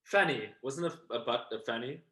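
A man's voice: mid-range voice, lively intonation, a little fast, sharp tone. A man chatting casually in a group, blunt and informal with easy swearing.

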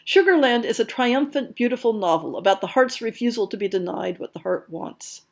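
A woman hosting a podcast, reading scripted narration in a measured, articulate and expressive way. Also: a little high, gravelly. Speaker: podcast host. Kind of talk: scripted narration, reading book listings and blurbs.

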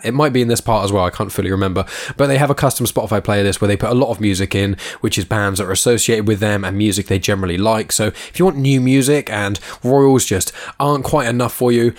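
A man hosting a podcast, talking with a congested, blocked nose from hay fever in a chatty, rambling way. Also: lowish voice. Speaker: podcast host. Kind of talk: casual podcast intro.

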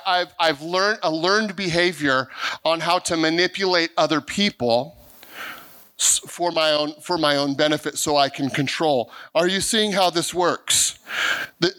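A man's voice: on the high side, bright, forceful tone. A middle-aged male pastor preaching earnestly, often restarting and repeating words as he drives his points home. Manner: acts out quoted voices of people he describes. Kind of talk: sermon.

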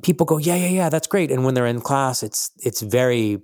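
A man in his forties, an acting teacher co-hosting a podcast, talking in a chatty, animated way. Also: mid-range voice, fairly quick, dry recording, clean studio sound. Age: 40s. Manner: chatty, lively, animated storytelling. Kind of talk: casual podcast chat.

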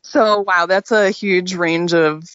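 Woman interviewer, lower voice, casual and hedging; dull dry recording. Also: fairly even intonation, slow, fairly bright tone, no echo. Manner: easygoing, hedging questions with many fillers. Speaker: podcast host. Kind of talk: interview questions.

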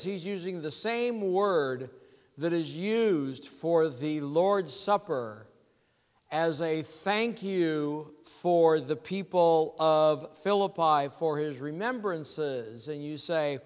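A congested man preaches a sermon, his voice slightly high for a man and unhurried. He explains scripture patiently, word by word, and the church hall around him rings with a long reverb. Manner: measured teaching, explains words slowly. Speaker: pastor. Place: church sanctuary.

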